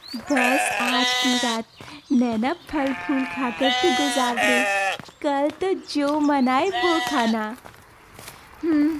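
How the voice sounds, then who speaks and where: A woman's voice: sits high, very noisy. A woman voicing a lonely, meek outcast village girl in a cartoon story, plaintive and wistful. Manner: plaintive, talking to herself or pleading. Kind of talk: Hindi cartoon moral story dialogue.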